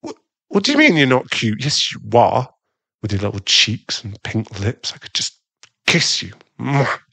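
A man's voice: medium pitch, wildly swooping pitch, gravelly, bright, forceful tone, slightly rough, dry recording, heavily acted. A man playing a jealous, doting werewolf husband in an intimate ASMR boyfriend roleplay, teasing and a little bratty. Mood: tender, doting. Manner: slow, intimate, teasing, with sighs and stammers.

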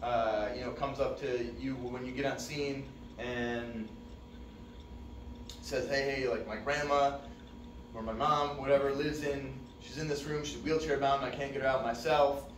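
A young man giving a fire service training talk in a relaxed, conversational way with many fillers, recorded from a distant camera in a dim classroom. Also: medium pitch, unhurried, a little hiss or hum. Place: dim training room with projector screen. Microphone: far camera. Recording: echoey room, distant mic.

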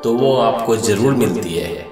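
A man's voice: lowish voice, expressive, a little slow, darkish tone, reverberant, soft background music. A man in his thirties, narrating a travel vlog with eager storytelling and speaking straight to his viewers. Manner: enthusiastic storytelling, addresses viewers. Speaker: travel vlogger. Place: indoor home room.

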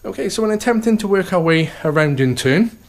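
A man with a slightly raised pitch leads a walking tour of a house as an estate agent. His sales patter is upbeat and steady, with plenty of "okay" and "fantastic" as he moves from room to room. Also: brisk. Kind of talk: property tour walkthrough.

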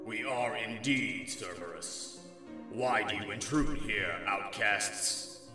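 A man plays a huge demon guard dog in a radio play. The voice is low and gruff, with a pompous, irritable air, ringing in long reverb over music. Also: slow pace, far from the mic. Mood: imperious. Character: giant gruff many-headed demon dog gatekeeper, irritable.

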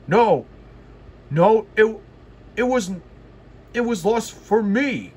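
Proud, cross male express engine man reading, storybook style, high-pitched and very animated, music behind. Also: slow pace, slightly rough, exaggerated voice. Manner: boastful, cross.

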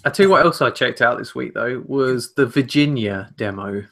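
A young man co-hosting a podcast, talking informally and haltingly about video games. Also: mid-range voice, gravelly, pushed and bright. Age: young adult. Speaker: podcast co-host. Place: small room at home.

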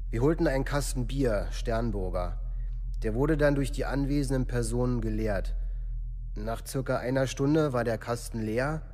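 A man's voice: medium pitch, darkish tone, a little hiss or hum, natural voice. Radio drama: young male suspect played by a man, terse, detached statement, mid-range voice. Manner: terse, detached, formal recitation. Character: teenage male murder suspect giving flat police statement.

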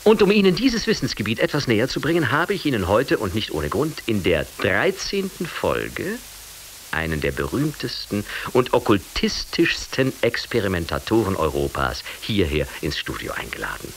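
An actor playing a naive, excitable radio host in a comedy sketch, theatrical and easily flustered. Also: male voice, lowish voice, normal speed, heavily acted, poor audio. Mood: grandly announcing. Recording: hissy 1980s radio recording.

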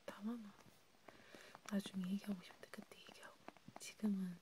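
Whispering woman, soft and mellow. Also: mid-range voice, normal speed. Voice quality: breathy, mostly unvoiced whisper. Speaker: ASMR roleplay creator. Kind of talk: whispered ASMR casual chat roleplay. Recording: steady background noise.

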